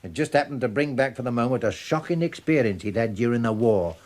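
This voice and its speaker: A genial middle-aged London dad played by a male actor, down-to-earth and fond of gentle banter. His voice sits at an ordinary pitch, in the style of 1950s British radio comedy. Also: lively intonation, fast talker, slight background noise, lightly acted. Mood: gentle, serious. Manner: kindly, chuckling banter. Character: genial, down-to-earth London working-class father, gently joking.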